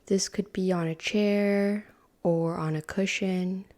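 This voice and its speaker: A woman leads a guided meditation in a calm, unhurried way, with a soft, breathy voice that slips into near-whispers and long pauses between phrases. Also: mid-range voice.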